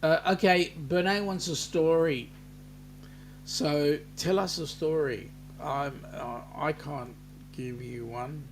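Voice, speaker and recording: Slow-talking Australian man hosting a chat. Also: fairly high pitch, fairly even intonation, background noise. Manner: unhurried, chatty, many ums, reads comments aloud. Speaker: live-stream host. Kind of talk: casual live-stream chat reading viewer comments.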